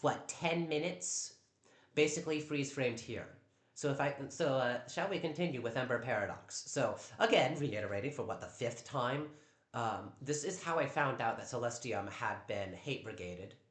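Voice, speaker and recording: Man, live streamer, loud voice, stammers, defensive, mid-pitched, echoey home room. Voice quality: naturally loud voice. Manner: defensive, stammers with repeated words.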